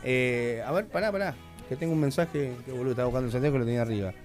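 Chatty radio host. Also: male voice, medium pitch, very fast, faint music behind. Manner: chatty, jokey, full of slang. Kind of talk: casual radio interview.

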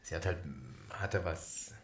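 An actor playing a reserved, awkward husband in an improvised radio comedy, speaking haltingly and with understated, formal wording. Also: male voice, low-pitched, flat delivery, echoey room, slight background noise. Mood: uneasy, subdued.

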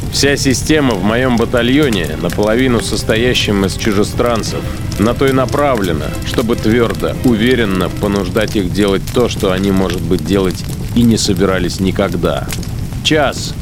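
A man playing a cynical German battalion commander in a radio drama, musing deliberately with rhetorical questions. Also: on the low side, natural voice. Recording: music behind the voice.

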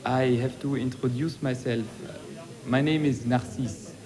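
An actor playing a courteous, gallant hotel guest in a radio play, speaking formally and politely. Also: male voice, mid-range voice, steady tone, unhurried, dark tone, long reverb tail, close to an everyday voice.

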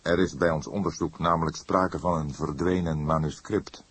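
Very deep, husky male narrator. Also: steady tone, dry recording. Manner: measured, literary storytelling. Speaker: audiobook narrator. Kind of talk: scripted detective story narration.

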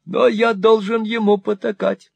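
A sly old moneylender with a high, cackling voice, all wheedling flattery and gushing cries. The sound is dry and close, with no room around it. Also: male voice, measured pace, gravelly, no echo, full character voice. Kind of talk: audiobook reading.

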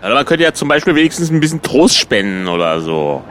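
A man's voice: medium pitch, sing-song, brisk, fairly bright tone, slight background noise. A man in improvised radio comedy chat, speaking in a hesitant, laconic way.

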